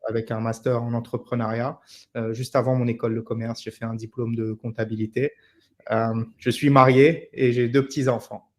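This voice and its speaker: Man, 30s, from Senegal, interview guest, monotone, mid pitch, hesitant fillers. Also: normal speed. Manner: hesitant, flat delivery with fillers. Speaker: guest, company director. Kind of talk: interview answers.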